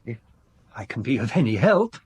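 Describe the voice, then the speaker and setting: An actor playing a fastidious, genteel architect-decorator in his thirties in a radio drama, speaking precisely and with stiff politeness. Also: medium pitch, big pitch swings, husky. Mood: polite, stiff. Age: 30s.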